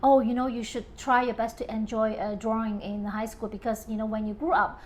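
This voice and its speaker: A woman's voice: on the high side, little pitch movement, a little fast, slight background noise. A woman from Hong Kong talking casually in an interview about her home and design, with many fillers and frequent laughs.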